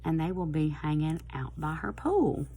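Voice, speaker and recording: Low raspy southern lady, bit hoarse, just chatting away to her viewers, faint hiss behind. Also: lively intonation, moderate pace, dark tone. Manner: rambling, friendly, chatty. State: slightly hoarse. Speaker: planner vlogger. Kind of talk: casual chat to camera.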